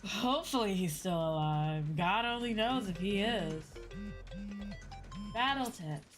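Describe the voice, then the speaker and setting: Slow, expressive woman streamer. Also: mid-range voice. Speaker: VTuber streamer. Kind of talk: casual live game-stream reactions. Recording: game music faintly behind.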